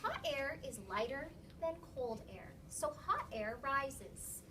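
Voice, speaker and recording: A woman with a fairly high voice reads aloud to a class of young children as their teacher. She explains in an instructive way and often checks understanding with questions. The recording is distant, with clear classroom noise around her. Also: sing-song, slow. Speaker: elementary school teacher. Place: classroom, recorded from across the room. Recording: distant mic, noisy classroom background.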